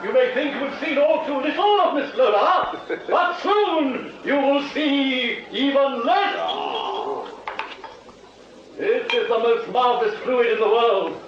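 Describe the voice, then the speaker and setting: A showy Victorian stage magician in a radio play. The pitch is very high and the delivery slow and grandiose, heard far off in an echoing, noisy theatre. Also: male voice, highs cut off. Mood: showy, teasing. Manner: grandiloquent, drawn-out showman's delivery. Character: showy Victorian music-hall conjurer addressing his audience. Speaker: actor. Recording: distant, echoey theatre sound with noise.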